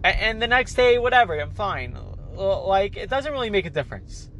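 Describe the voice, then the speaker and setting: A man's voice: high voice, big pitch swings, fairly quick, sharp tone, slight background noise, poor audio. A man with a cold and a nasal, stuffed-up voice, chatting casually as a podcast host in a rambling way, stumbling and repeating words as he restarts sentences. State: has a cold, congested. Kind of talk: casual solo chat.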